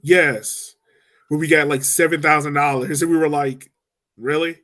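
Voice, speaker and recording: Brief: male podcast co-host, animated and chatty, a bit higher-pitched. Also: moderate pace. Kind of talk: casual sports chat.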